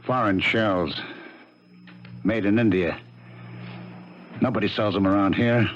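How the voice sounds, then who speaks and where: An actor playing a blunt, streetwise police lieutenant in 1970s radio drama, skeptical but sympathetic, with clipped, emphatic phrases. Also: male voice, fairly low pitch, slow, a little hiss or hum. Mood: intrigued. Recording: dull 1970s radio broadcast recording.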